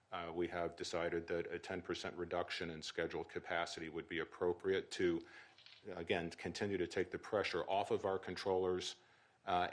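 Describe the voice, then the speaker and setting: A middle-aged man with a notably deep voice addresses reporters at a press briefing. He speaks in a measured, official way, pausing and restarting as he explains.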